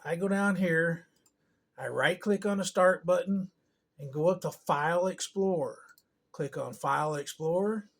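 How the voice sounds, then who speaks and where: Higher-voiced man giving an informal how-to. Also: vocal fry. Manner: halting, unscripted, thinking aloud. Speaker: tutorial presenter. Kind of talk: informal step-by-step screen tutorial. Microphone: camera on a tripod.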